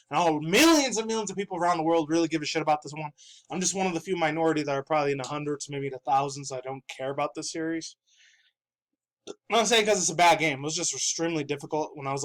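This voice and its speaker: A man's voice: on the high side, low-quality recording. Stuffy-nosed man in his thirties rambling profanely. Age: 30s. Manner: rambling, profane, laughing often. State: stuffy from dust. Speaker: gaming news YouTuber. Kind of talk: casual video commentary. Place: his own room.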